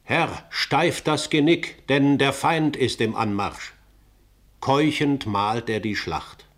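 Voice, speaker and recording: An actor playing a solemn narrator in a radio drama, declaiming scripted verse about ancient war. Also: male voice, mid-range voice, takes it slowly, a bit bright. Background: music.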